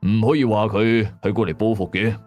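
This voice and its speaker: A man acts out an older Hong Kong exorcist father in a radio ghost story. The voice is low, grave and knowing. He speaks in a measured, probing way. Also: fairly even intonation, darkish tone, faint music behind, close to an everyday voice.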